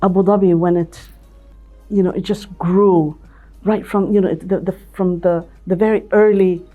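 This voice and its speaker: A woman's voice: lowish voice, fairly even intonation, moderate pace, phone-quality sound, soft background music. An older woman from Ethiopia, a company CEO, telling her life story in an interview with many restarts and repeated words. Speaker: CEO and managing director, interview guest.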